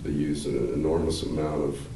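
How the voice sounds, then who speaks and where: An older man, a law professor, explaining at length in a measured, halting way with many fillers, seated in a wood-paneled room. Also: extremely low pitch, steady tone, warm tone. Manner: gestures with hands while talking. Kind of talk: explanatory interview answers. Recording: roomy, slightly distant, faint hiss.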